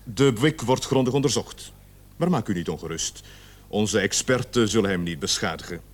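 An actor playing a stern police sergeant in a radio play, speaking formally and with measured authority. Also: male voice, lowish voice, animated. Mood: firm, official. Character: stern police sergeant leading a murder investigation.

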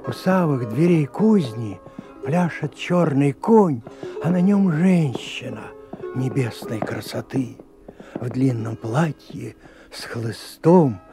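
A gravelly old storytelling grandfather. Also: mid-range voice, animated, over music. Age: older. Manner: slow, sing-song folk-tale telling. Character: old village grandfather spinning a folk tale. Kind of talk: 1980s radio play storytelling.

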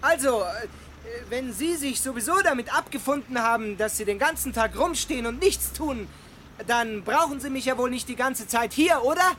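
A man's voice: extremely high pitch, animated, normal speed, a bit bright, a little hiss or hum. An actor playing a stubborn, put-upon homeowner, a man with dry sarcasm in a German radio comedy. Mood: politely cajoling.

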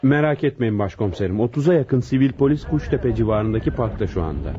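A man's voice: medium pitch, animated, quick pace, very noisy, natural voice. A man acting a deferential, earnest police deputy in a radio drama, speaking respectfully and attentively. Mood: reporting, assured. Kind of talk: Turkish radio theatre acting. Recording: dull, lo-fi radio recording.

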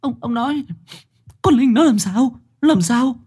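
A male storyteller raises his voice into a distraught village mother, pleading and wailing. She goes high and bright above his own tone. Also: unhurried, husky, full character voice.

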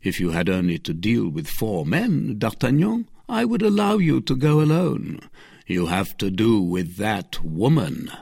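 A man's voice: on the low side, wildly swooping pitch, slow, creaky, slightly rough, dry recording, clean studio sound, full character voice. A man voicing a grave, solemn musketeer in a storybook, speaking with weighty emphasis. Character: solemn, grave musketeer, worldly older comrade.

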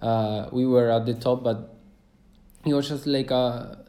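Mellow young man, rambling. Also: mid-range voice, steady tone, a little slow. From Argentina. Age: 20s. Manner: hesitant and rambling, full of fillers and restarts. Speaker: podcast host. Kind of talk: casual solo podcast monologue.

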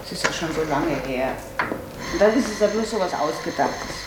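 An actress playing a practical, self-assured grown daughter in a German radio play, speaking in a matter-of-fact, calmly dismissive and soothing way. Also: female voice, lowish voice, fairly quick, roomy sound, heavy background noise, lightly acted.

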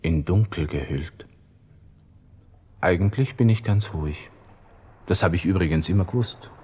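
An actor playing a proud young army lieutenant in despair, with a Viennese accent, talking agitatedly to himself in a radio drama monologue. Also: male voice, low-pitched, normal speed, a little mellow, slight background noise, lightly acted. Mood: agitated, resigned. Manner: agitated, self-talking, shifting between muttering and outbursts.

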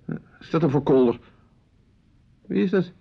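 Radio play: hesitant, muttering small-town doctor and husband, grumbly yet hospitable, animated pitch, lo-fi recording. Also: male voice, normal speed, creaky, breathy edge, exaggerated voice. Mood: irritated, flustered. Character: grumbling but hospitable village doctor, a husband fond of drink. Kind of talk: Dutch radio play acting.

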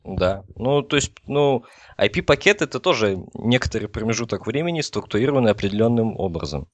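Low-pitched man explaining in dry sound. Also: lively intonation, brisk, no echo. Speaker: podcast guest explaining telecom technology. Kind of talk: informal technical discussion.

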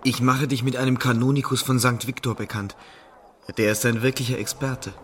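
A male actor as a dreamy young scholar in a radio play. His voice is medium in pitch and rings with long hall reverberation. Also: flat delivery, fairly quick, slight background noise, lightly acted. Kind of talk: German radio play acting.

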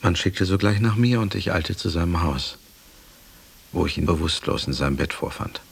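A sober, devoted manservant narrating a radio drama. His voice is extremely deep, gravelly and very husky. The delivery is formal, measured and deferential. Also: lively intonation, exaggerated voice. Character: devoted, sober male attendant recounting his master's decline.

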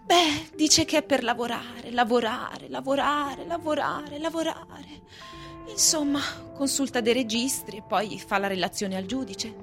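A woman's voice: sits high, unhurried, a bit bright. A woman acting in a radio drama as a flirtatious, fickle wife, coy and confiding in her delivery. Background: music.

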